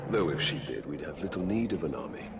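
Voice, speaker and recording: Video game fantasy warrior: low, solemn, formal and calculating, echoing voice over music. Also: male voice, expressive, fast talker, heavy background noise. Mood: grave. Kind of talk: video game cutscene acting. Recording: echoey voice with music behind.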